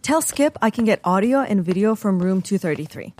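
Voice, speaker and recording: Woman as a lively, teasing spy agent. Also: mid-range voice, fairly quick, slight background noise, close to an everyday voice. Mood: teasing, curt. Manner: casual, teasing, clipped. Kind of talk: comic audio drama acting.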